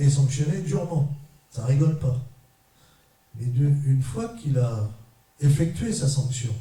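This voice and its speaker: A middle-aged trade unionist from France addresses an audience in a measured, emphatic way, his voice very dark and mellow. He is heard from a distance in a large hall with strong echo. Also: a little high. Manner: measured, emphatic, short repeated phrases. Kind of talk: conference talk to an audience. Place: large echoey parish hall. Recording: echoey hall, mic far off.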